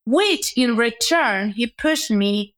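A woman's voice: a little high, lively intonation, takes it slowly, fairly bright tone. A woman, a guest telling her career story in a reflective way and often asking "right?". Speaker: guest entrepreneur. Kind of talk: conversational interview storytelling.

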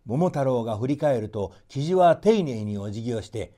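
A man's voice: mid-range voice, expressive, normal speed, mellow. A man narrating a folk tale as a storyteller, in a theatrical scripted reading.

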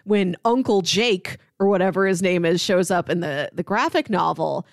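A woman's voice: fairly low pitch, expressive, moderate pace, creaky, dry recording, studio quality. A woman co-hosting a podcast, talking casually and conversationally as she discusses stories. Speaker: podcast co-host. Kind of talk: casual discussion of comics and film.

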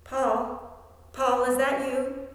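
A woman playing an excitable, flustered wife in her late fifties in an amateur radio play. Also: sits high, drawn-out words. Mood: flustered, excited. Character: excitable, flustered 58-year-old wife on the telephone. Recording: very echoey hall.

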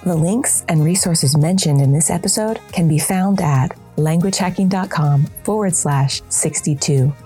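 A woman's voice: deep voice, a little slow, few pauses, mellow, soft background music. A woman co-hosting a podcast interview, talking in an upbeat, chatty way. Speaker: podcast co-host.

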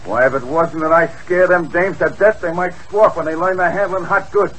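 A man's voice: high-pitched, moderate pace, a bit bright, low-quality recording. A man playing a boastful, streetwise gangster henchman in a 1940s radio play, talking in slangy tough-guy fashion over a hissy old broadcast. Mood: defiant.

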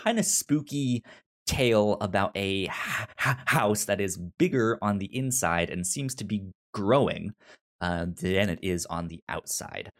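A man's voice: lowish voice, a little slow, fairly bright tone. A man hosting a podcast in a casual review discussion, often stammering and repeating words as he feels his way through a thought. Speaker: podcast host.

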